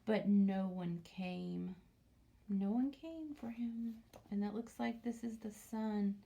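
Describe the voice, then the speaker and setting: A woman teacher reading a picture book aloud to young children, commenting and asking questions, often dropping into a hushed near-whisper. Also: mid-range voice, fairly even intonation, takes it slowly, creaky. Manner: asks the listening children questions.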